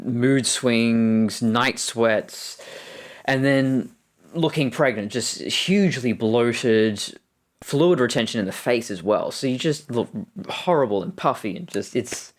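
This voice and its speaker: A woman in her thirties with an Australian accent and a deep voice. She speaks frankly in an interview, halting with restarts. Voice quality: deep voice from testosterone. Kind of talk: candid recorded interview.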